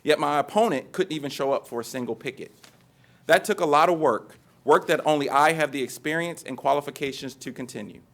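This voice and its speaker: A man's voice: fairly high pitch, fairly quick. A man speaking as a union treasurer candidate in a debate, assertive and dismissive of his opponent. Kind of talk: debate statement.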